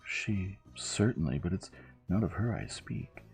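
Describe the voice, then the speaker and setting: Male narrator as young French maid, sly, excitable, French accent, raised lilting pitch, pleading, emphatic, audiobook. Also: measured pace, vocal fry, faint music behind, exaggerated voice. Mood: emphatic, gossipy. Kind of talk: audiobook character voice.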